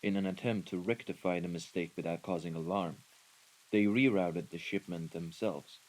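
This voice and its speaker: A man's voice: low-pitched, fairly even intonation, moderate pace, darkish tone, close to an everyday voice, low-quality recording. A man playing a deferential mafia underling, formal and careful, in a scripted audio roleplay. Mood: deferential, explaining. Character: deferential male mafia underling reporting to his boss.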